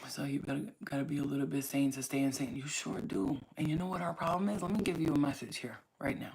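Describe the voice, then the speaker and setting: A woman's voice: sits low, fast talker, gravelly, a little mellow, husky, poor audio. A woman from Staten Island, hosting her own channel and talking straight to viewers in a blunt, profane, preachy way. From Staten Island, New York. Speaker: spiritual reader and channel host. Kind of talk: casual talk to camera.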